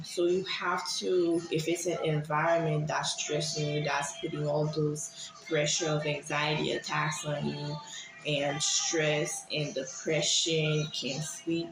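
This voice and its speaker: A woman from Haiti giving a personal, confessional monologue to viewers in a hesitant, halting way, often repeating herself. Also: sits low, vocal fry, roomy sound, background noise. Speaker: vlogger sharing personal experience.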